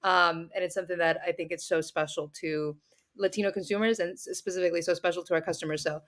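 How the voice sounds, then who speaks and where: A young woman talks about her business with eager enthusiasm, her voice bright and sharp. Her pitch sits a little low, and her speech is loose and conversational, full of "um" and "like". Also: fairly even intonation, quick pace. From Mexico. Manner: enthusiastic, warm gratitude, fillers like "um" and "like". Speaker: business founder guest. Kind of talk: casual interview chat.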